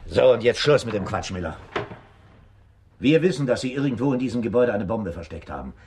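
Driven police captain, animated delivery. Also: male voice, on the low side, a little fast, background noise. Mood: accusing, forceful. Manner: insistent, interrogating. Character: stern, driven New York police captain. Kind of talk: German radio drama acting.

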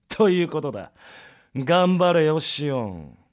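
An actor playing a cruel, overbearing man in authority in an audio drama, sneering and rough-spoken. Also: a little high, big pitch swings, slow pace. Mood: taunting. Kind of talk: Japanese audio drama acting.

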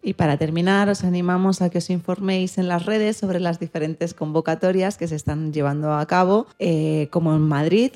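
A woman's voice: on the low side, mellow. A woman presenting a magazine show, partly reading from a script.

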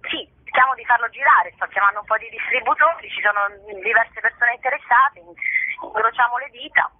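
A woman's voice: a little high, a little fast, slightly rough, no echo. A middle-aged woman speaking effusively and spontaneously in an interview over the telephone, often repeating her phrases as she goes. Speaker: actress interviewed about a show. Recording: band-limited, dry telephone line.

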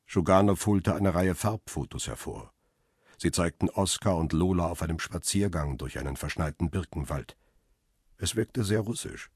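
A middle-aged, world-weary photographer narrating a radio crime thriller in the first person. The voice is very deep and rough-edged, closely and dryly recorded, with a sober, laconic delivery. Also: male voice, no room sound, studio quality, exaggerated voice.

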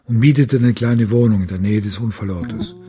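An actor playing a desperate, stubborn father in a radio crime drama, speaking with insistent, deliberate intensity. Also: on the low side, natural voice. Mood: recollecting. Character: desperate, stubborn father searching for his abducted daughter.

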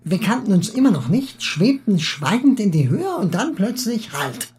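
A man's voice: high voice, sing-song, a little mellow. Man acting a chatty émigré husband, swooping melodically. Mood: musing, talkative. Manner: garrulous, halting when searching for words. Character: talkative, excitable Russian émigré husband, eagerly philosophizing. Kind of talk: acted audiobook dialogue.

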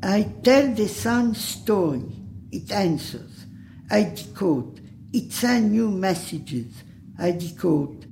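A woman reading poetry deliberately, in short weighted phrases. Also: medium pitch, lively intonation, warm tone, a little hiss or hum.

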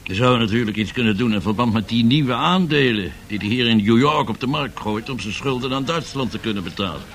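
An actor playing a shrewd, probing financier in a 1970s radio drama, calculating and conversational. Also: male voice, medium pitch, brisk, a bit bright, slight background noise, close to an everyday voice.

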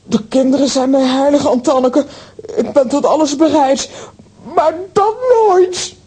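An actress playing a fierce, easily agitated aunt in a children's audio play, speaking in exclamations with theatrical drama. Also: female voice, high-pitched, unhurried, dark tone. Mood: anguished, dramatic.

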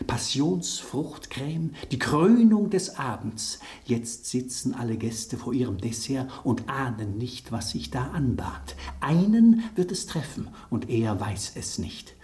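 A smug, teasing gentleman holds forth in a radio drama. His delivery is theatrical and highly animated, savouring every bit of suspense. The room rings slightly around him. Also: roomy sound. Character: smug, teasing gentleman narrating a culinary revenge intrigue.

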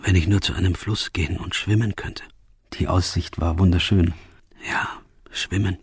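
An actor playing a reluctant, disillusioned soldier, narrating a radio drama monologue in a quiet, resigned, brooding manner. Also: male voice, sits low, steady tone, normal speed, vocal fry, husky, full character voice. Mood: brooding, wistful. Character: reluctant, disillusioned soldier, former locksmith, male, weary.

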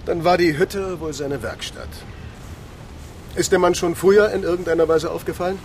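An actor playing a formal senior police detective, brisk and officious, in a naturalistic radio crime drama. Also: male voice, on the high side, creaky, a little mellow, slight background noise. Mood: brisk questioning.

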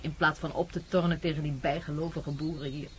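A woman's voice: sits low, little pitch movement, brisk, natural voice. A woman acting in a Dutch radio play as a spirited country doctor and wife, wry and lightly reproachful in her delivery. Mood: mild reproach. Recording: radio play with clear background noise.

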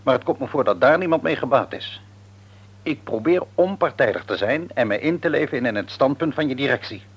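An actor in a radio drama playing an older father who reasons frankly and gently reproves his grown son. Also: medium pitch, lively intonation, a little fast, breathy edge. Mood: reasoning. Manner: reasoning, hesitant at times, frank.

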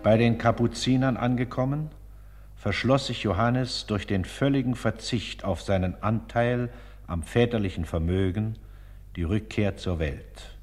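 A man with a somewhat low voice delivers solemn scripted narration. The recording carries a long reverb around his words. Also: measured pace, darkish tone, faint music behind.

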